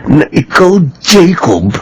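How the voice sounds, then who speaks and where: A man's voice: a little high, very animated, slow pace, slightly rough, full character voice. An actor playing a hot-tempered, arrogant young rich man who schemes as an obsessive suitor in a Filipino radio drama. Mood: bitter, plotting. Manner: menacing, arrogant.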